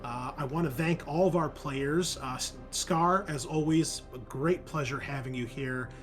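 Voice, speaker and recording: A man's voice: on the high side, measured pace, soft background music. A man chatting casually as game master and host after a tabletop game, in an informal, rambling, sometimes sweary way.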